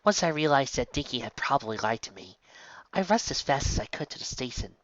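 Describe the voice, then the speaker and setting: A woman's voice: a bass voice, expressive, a little fast, no room sound. A man voicing an anxious, protective young mare who stammers when flustered. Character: worried, protective young earth pony mare. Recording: dull, lo-fi home recording.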